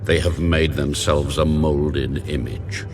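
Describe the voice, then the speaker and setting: Angry almighty god in bible drama, very low, slow and commanding, faint music. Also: male voice, fairly even intonation. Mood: urgent, wrathful. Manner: authoritative, solemn, declaratory. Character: mighty male God, wrathful and commanding. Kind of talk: dramatized audio Bible acting.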